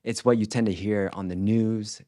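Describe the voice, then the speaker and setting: Man, lowish pitch, language teacher, scripted instructional narration, clear explanatory delivery, careful enunciation. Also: steady tone, moderate pace, dark tone, a little hiss or hum. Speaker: language teacher presenting a video series.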